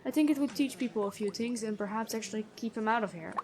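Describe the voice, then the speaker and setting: Woman playing a gentle, high-voiced female prison guard. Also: fast, natural voice. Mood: curious, friendly. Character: stern but gentle noble-born female prison guard, curious, bookish. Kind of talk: ASMR fantasy roleplay, addressing the listener.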